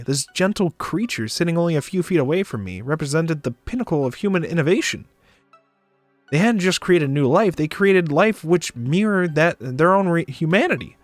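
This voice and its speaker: A man's voice: on the high side, no echo. A man narrating a visual novel in the first person on a stream, with casual asides.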